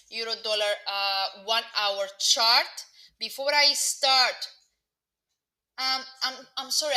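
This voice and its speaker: A woman's voice: on the high side, sharp tone, rough recording. A young woman with a Greek Cypriot accent giving live market commentary to online viewers, hesitant with many fillers and restarts. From Cyprus. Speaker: market analyst presenting a live webinar.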